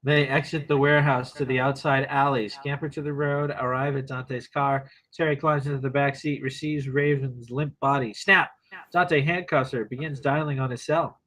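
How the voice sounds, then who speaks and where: A man's voice: mid-range voice, steady tone, normal speed, a bit bright, low-quality recording. A man reading stage directions at a screenplay table read in short, terse present-tense phrases.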